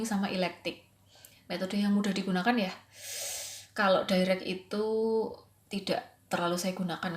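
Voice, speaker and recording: A woman with a Javanese regional accent in Indonesian, a schoolteacher giving an informal explanatory presentation, conversational, posing questions and answering them herself. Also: medium pitch. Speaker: elementary school English teacher presenting coursework. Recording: roomy echo, faint background noise.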